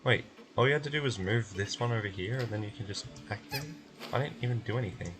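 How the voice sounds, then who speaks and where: A man's voice: lowish voice, fast talker, noisy background. A man giving casual gameplay commentary as a streamer, muttering asides to himself as he plays. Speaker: streamer playing and voicing a game.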